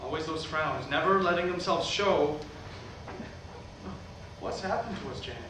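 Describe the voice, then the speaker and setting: A man's voice: on the high side, moderate pace, close to an everyday voice. A man acting an earnest, weary megachurch pastor in a staged play reading. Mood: weary, troubled. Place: theatre at a live staged reading. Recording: echoey, noisy hall recorded from far off.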